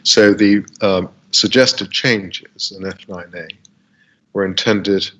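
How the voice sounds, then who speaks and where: Low-voiced, croaky man at a hearing. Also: steady tone, a bit bright, muffled recording. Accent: British English. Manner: halting, many fillers and restarts. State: dry, croaky throat. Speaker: council planning witness. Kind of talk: formal hearing statement. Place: air-conditioned room. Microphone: video call.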